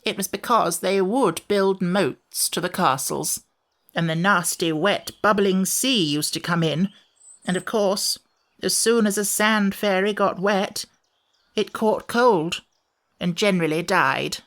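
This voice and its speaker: A woman's voice: mid-range voice, takes it slowly, fairly bright tone. A woman voicing a grumpy, ancient and fussy sand fairy creature for a children's audiobook, in a gloomy, peevish storyteller's way.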